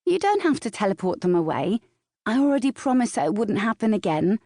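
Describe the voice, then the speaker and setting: Lady doing a teasing, pouty vampire heroine, defensive and sassy, soft mellow voice, audiobook dialogue. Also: medium pitch, big pitch swings, brisk, no room sound, studio quality, pitched above the performer's own voice. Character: sassy, defensive young female vampire commander.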